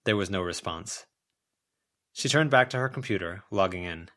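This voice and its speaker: A man's voice: low-pitched, expressive, normal speed, bright, forceful tone. A man reading a story aloud as a scripted audiobook narration.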